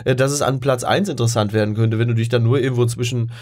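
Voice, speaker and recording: Middle-aged man, podcast co-host, agreeable, chatty, medium pitch, casual football talk. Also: fairly even intonation, fast, darkish tone. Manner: agreeable, frequent "ja" backchannels.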